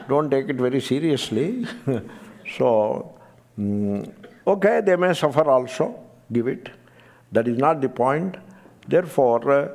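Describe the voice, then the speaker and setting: A man with a gravelly, mid-pitched voice delivers a spiritual discourse. He speaks in a measured, emphatic way and sinks into quiet asides. He slows right down to chant old verses. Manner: chants scripture verses slowly. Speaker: spiritual teacher.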